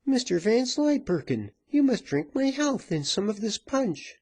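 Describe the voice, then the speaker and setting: A man voicing a loud, bullying, rough woman in a storybook, brash and taunting. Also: high voice, big pitch swings, measured pace, pitched above the performer's own voice, full character voice. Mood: menacing, coaxing. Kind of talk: dramatized storybook reading.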